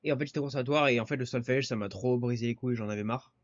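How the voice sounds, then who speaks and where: A man's voice: medium pitch, rapid-fire, dry recording, muffled recording. Laid-back French guy talking slang. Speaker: podcast guest, musician. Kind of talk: casual interview chat.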